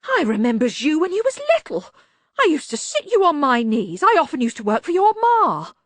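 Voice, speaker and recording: A woman's voice: high voice, huge pitch swings, normal speed, no echo, voice pushed up. Woman acting a blustering, scornful older male crook. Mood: panicked pleading. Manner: blustering, scornful, rough colloquial grammar. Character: angry older male locksmith, cocky working-class criminal. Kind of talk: audiobook character voice.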